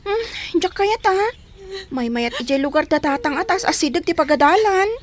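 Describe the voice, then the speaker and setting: A woman's voice: high voice, expressive, normal speed, a crowd in the background. An actress playing an insistent young girl, a sister, in a radio drama. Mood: insistent, teasing. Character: insistent young girl, the other girl's sibling.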